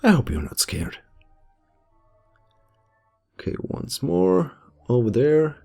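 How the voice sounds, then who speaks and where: A man's voice: a little high, very animated, slow, vocal fry, a little mellow, slightly rough. A young man with a German accent, chatty and self-deprecating, narrating his space game as commentator over his footage. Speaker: gameplay commentator. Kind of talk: casual gameplay commentary. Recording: voice-over with faint background music.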